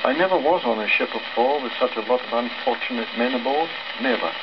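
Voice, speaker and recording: A man's voice: mid-range voice, brisk, natural voice. Crackle and a muffled old-record sound surround an English ship's cook as a male storyteller plays him. He is a self-important storybook boaster, airy and mock-sorrowful about his supposed gift. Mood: gloomy, mysterious. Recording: old crackly record, dull, heavy surface noise.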